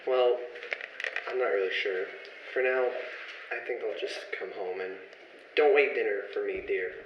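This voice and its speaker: A man's voice: lowish voice, normal speed, close to an everyday voice. Man acting an earnest young pastor, echoey lo-fi. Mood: weary, discouraged. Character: earnest young pastor, devoted husband, proud but easily disheartened. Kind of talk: old-time radio play acting. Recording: lo-fi, echoey room with faint noise.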